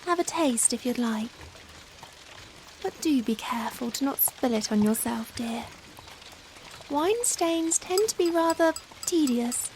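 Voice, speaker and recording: A woman playing a young, charming female Victorian vampire in a softly spoken ASMR roleplay, gentle and caring, with formal old-fashioned phrasing. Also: sits high, measured pace, pauses often. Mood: warm, lightly amused. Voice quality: soft, gentle. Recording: steady rain noise behind the voice. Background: rain ambience.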